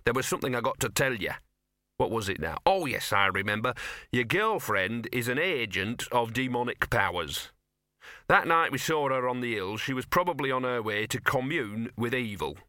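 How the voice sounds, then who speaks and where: A man's voice: mid-range voice, animated, normal speed, pushed and bright, dry recording, studio quality. A man voicing a sullen little dog in an audiobook, muttering and whining self-pityingly in slangy, colloquial speech. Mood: conspiratorial. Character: grumbling, sullen small bow-legged talking dog.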